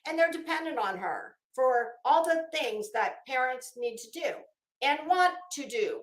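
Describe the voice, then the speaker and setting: A high-voiced woman delivers a casual commentary rant at a very unhurried pace. She is blunt and profane, leaning hard on words and breaking off haltingly. The sound is roomy, with clear echo around her voice. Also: animated. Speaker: online commentary host.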